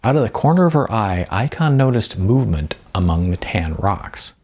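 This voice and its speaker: Gravelly, husky male storyteller with lively pitch. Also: normal speed. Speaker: audiobook narrator. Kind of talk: scripted story narration.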